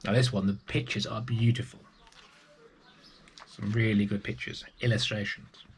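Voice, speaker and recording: A man's voice: on the low side, little pitch movement, moderate pace, a little hiss or hum. A man reading a picture book to young children expressively, with chatty asides to the listeners. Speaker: storybook reader for early years children.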